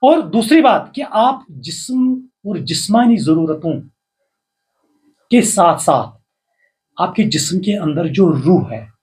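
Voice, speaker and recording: An older man with a Kashmiri accent speaks in a slightly higher voice. His delivery is formal and measured, and he draws out the lines he stresses. He sounds like a writer giving earnest advice as a guest. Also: lively intonation, a little mellow. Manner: formal, measured, slow recitation with rising emphasis. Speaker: poet and writer, interview guest. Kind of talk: reciting verse, then earnest advice.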